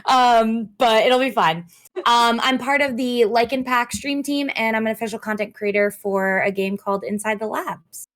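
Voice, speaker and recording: A woman whose voice is hoarse from screaming, a streamer chatting openly as an interview guest and laughing at herself. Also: fairly high pitch, moderate pace, a bit bright. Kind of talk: casual interview chat.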